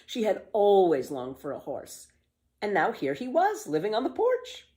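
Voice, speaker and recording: A woman reading a children's book aloud as a storyteller, with expressive, emphatic scripted narration. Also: medium pitch, unhurried.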